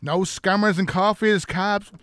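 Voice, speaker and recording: A man's voice: high-pitched, steady tone, a little slow, a bit bright, clean tone, no echo, studio quality. An actor playing a matey Dublin taxi driver with a salesman's patter, in an audio drama. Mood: chummy. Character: chummy, self-promoting male Dublin taxi driver.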